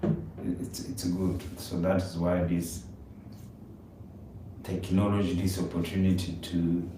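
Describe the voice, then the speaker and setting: A man's voice: sits low, little pitch movement, a little slow, warm tone. Man with echoey, distant. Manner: rambling, repeats words, non-native grammar. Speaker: live stream co-host. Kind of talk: casual conversation on a live stream. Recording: echoey room, distant mic, faint noise.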